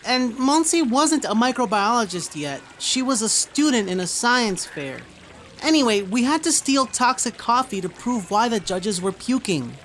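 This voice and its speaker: A woman's voice: on the high side, lively intonation, moderate pace, a bit bright, a little hiss or hum. A woman playing an earnest young heroine in an audio drama, patiently explaining a secret to a friend.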